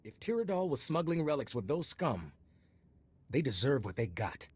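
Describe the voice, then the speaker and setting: Game casting: pushy government investigator, husky, cynical, with lively pitch swings. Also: male voice, normal speed. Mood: stern, resigned. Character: cynical, officious male customs agent, pressing interrogator. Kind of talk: video game voice acting.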